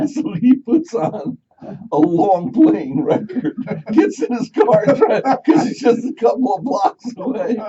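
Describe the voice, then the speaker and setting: A man's voice: a little high, dark tone, slightly rough. A man hosting a morning radio talk show, telling rambling stories and joking. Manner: rambling, jokey, acts out quotes of other people. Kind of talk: chatty radio talk, storytelling. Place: radio studio. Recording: slightly muffled radio broadcast.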